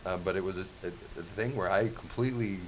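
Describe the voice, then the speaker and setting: A man telling a casual story on a podcast, halting and restarting often, with many "you know"s. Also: low-pitched. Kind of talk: casual anecdote. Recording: faint hiss.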